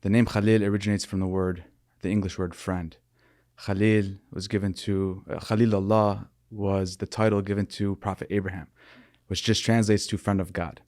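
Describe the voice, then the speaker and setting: A young man from Michigan, talking earnestly and thoughtfully as a podcast guest, often pausing and restarting his sentences. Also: fairly low pitch, little pitch movement, darkish tone, no echo, studio quality. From Michigan, USA. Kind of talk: reflective interview talk.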